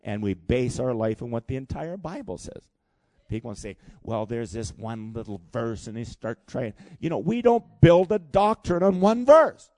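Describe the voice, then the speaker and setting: A man in his sixties preaching a sermon in church, animated and calling for responses from the congregation. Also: a little high, moderate pace, dry recording. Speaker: pastor. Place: church hall.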